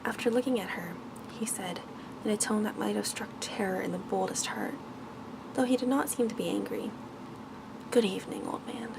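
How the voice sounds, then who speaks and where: A woman reads a storybook aloud in a hushed, gentle, measured ASMR style, with small stumbles over the words. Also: medium pitch, vocal fry, noisy background. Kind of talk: soft ASMR bedtime story reading.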